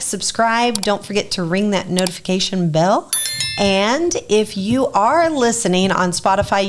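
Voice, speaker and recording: Raspy-voiced woman co-hosting a podcast. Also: mid-range voice. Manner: chatty, laughs easily, promo-style plugs. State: raspy, recovering voice. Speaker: podcast co-host. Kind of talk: casual comedy podcast chat.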